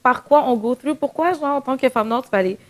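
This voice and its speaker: A woman's voice: high-pitched, fast talker. A woman with a slight Quebec French accent chats casually and animatedly, slipping English phrases into her French. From Quebec, Canada. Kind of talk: casual podcast chat with English slang mixed in.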